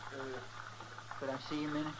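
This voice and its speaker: An actor playing a deferential, folksy American marshal's helper in an old radio western. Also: male voice, on the high side, monotone, fast, heavy background noise, lightly acted. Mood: hesitant, urgent. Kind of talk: 1950s radio western acting.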